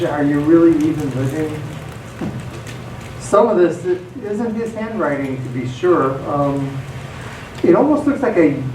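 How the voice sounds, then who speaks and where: A man's voice: a little high, darkish tone. A man playing a folksy, musing journal reader in a live radio theatre monologue. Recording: distant echoey stage recording, noisy.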